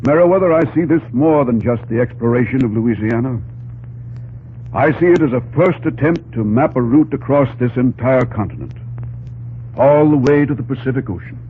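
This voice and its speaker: An actor playing a visionary, wistful president in an old-time radio drama, musing and stately. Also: male voice, medium pitch, expressive, moderate pace, lightly acted. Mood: wistful wonder. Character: visionary, wistful middle-aged American president. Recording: muffled, hissy old radio recording.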